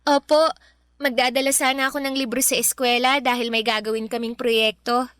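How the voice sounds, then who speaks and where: A woman's voice: a little high, level tone, fairly quick, fairly bright tone, clear, resonant tone, dry recording, studio quality, natural voice. A woman playing a polite, eager young schoolgirl in a Filipino radio drama. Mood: polite, explaining. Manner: polite, deferential.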